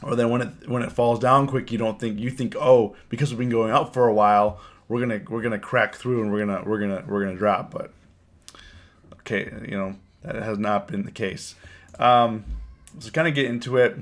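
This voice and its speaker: A man with a cold and a hoarse voice, hosting a casual live stream and chatting with his viewers, often repeating his words. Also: lowish voice, fairly even intonation, brisk. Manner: rambling, repeats words, reads chat aloud. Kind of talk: casual live stream chat about trading.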